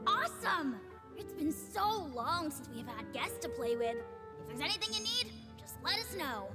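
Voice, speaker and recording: A woman's voice: extremely high pitch, big pitch swings, moderate pace. A woman voicing an eager, admiring and slightly mischievous young child in a video game. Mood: eager, helpful. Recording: game music behind the voice.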